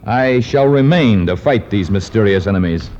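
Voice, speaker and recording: An actor playing a grave, fearless jungle adventurer in 1950s radio style, formal, measured and blunt. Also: male voice, on the low side, animated, natural voice. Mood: defiant. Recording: dull, noisy 1950s radio recording.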